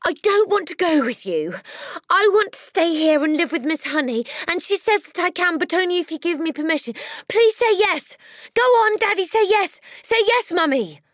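A spirited little girl with a high, bright, loud voice, crying out her pleas in urgent bursts, as a woman reads her in an audiobook. The voice leaps way up, very lively and full of push. The recording is close and dry. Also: normal speed, dry recording. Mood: breathless pleading. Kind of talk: audiobook character reading.

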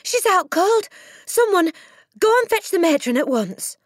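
A woman's voice: very high voice, big pitch swings, a little slow, dry recording, studio quality, voice pushed up, full character voice. A woman voicing an alarmed female schoolteacher in a children's storybook, crying out urgently.

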